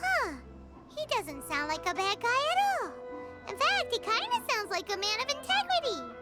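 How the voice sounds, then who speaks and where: A squeaky, chirpy fairy companion. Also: female voice, huge pitch swings, moderate pace, heavily acted. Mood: surprised. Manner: refers to herself in third person, blunt and curious. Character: tiny floating fairy companion, chirpy and nosy. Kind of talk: video game voice acting. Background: soft game music.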